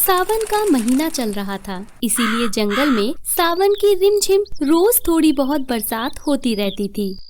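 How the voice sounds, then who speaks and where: A woman's voice: high voice, sing-song, measured pace, few pauses, a little hiss or hum. A woman narrating a scripted children's cartoon story.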